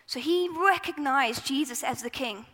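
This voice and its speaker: A woman with a South African accent preaching a sermon into a microphone, telling the story in a relaxed, conversational way. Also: high-pitched, normal speed, big-room sound.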